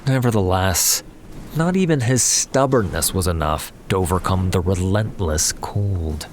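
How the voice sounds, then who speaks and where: A man narrating a fantasy story in a measured, solemn way with weighted pauses, as in a scripted dramatic audiobook. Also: sits low, a little mellow, slight background noise. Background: background music.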